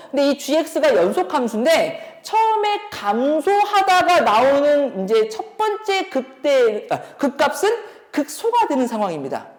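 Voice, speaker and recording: A woman lectures as an instructor in a high-pitched voice with very lively intonation. She explains step by step, tossing out questions as she works through each point. The recording carries long room reverberation. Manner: explanatory, thinking aloud with rhetorical questions. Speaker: math academy instructor. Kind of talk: exam problem explanation lecture.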